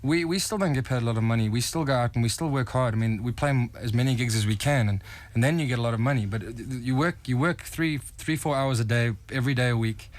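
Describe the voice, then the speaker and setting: South African man, young adult, fast, earnest, a bit halting. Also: medium pitch. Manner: earnest, fast, with stumbles and restarts. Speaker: rock band member, interviewee. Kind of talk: casual radio interview.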